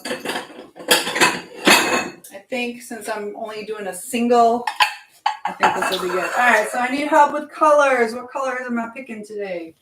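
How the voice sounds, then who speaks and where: A woman's voice: on the high side, normal speed, pauses often, reverberant, very noisy. A woman hosting a live stream in casual chat, drifting informally between advice to viewers and comments on what she is doing.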